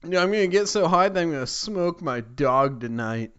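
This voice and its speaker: Male podcast co-host, joking and casual, slightly high voice, lo-fi audio. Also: expressive, normal speed, fairly bright tone, clean tone.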